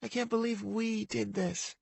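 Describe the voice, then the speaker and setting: A man voicing a hopeful young pregnant woman in an audiobook, in a creaky, husky falsetto. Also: fairly even intonation, measured pace, a little mellow, no echo, exaggerated voice. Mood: sweet. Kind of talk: audiobook character voice.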